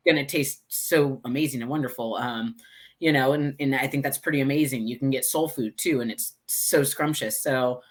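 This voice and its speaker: A woman from Texas with an American accent, chatting casually as an interview guest in a chatty way, quick to laugh. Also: rumbling low. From Houston, Texas, United States. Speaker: guest, tequila brand ambassador.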